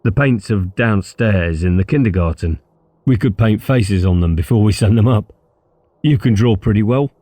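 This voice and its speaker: A man's voice: on the low side, normal speed, mellow, dry recording, close to an everyday voice. A man reading an audiobook as a mischievous schoolboy, eager and conspiratorial, talking casually about schemes. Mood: keen, scheming.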